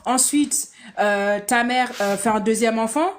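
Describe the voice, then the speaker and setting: A woman's voice: a little high, unhurried. A woman hosting a podcast interview, recapping her guest's story and asking probing questions. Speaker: podcast host.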